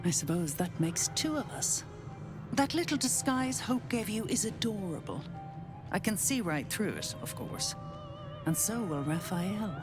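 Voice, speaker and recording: A woman's voice: medium pitch, sing-song, measured pace. An actress playing a sly, worldly female devil in a video game, speaking in a mocking, knowing, breezy way. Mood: teasing. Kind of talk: video game character acting. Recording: game music behind. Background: music.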